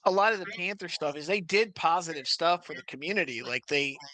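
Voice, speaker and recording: A man's voice: fairly high pitch, expressive, a little fast, pushed and bright. A man in a casual debate, talking insistently, repeating his phrases and cutting in.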